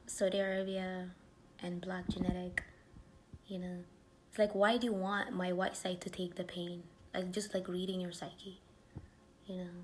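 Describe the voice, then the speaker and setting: Gravelly-voiced woman rambling casually. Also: mid-range voice, slight background noise. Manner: drifting, many fillers, drops into whispery asides. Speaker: vlogger. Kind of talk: rambling casual chat to camera.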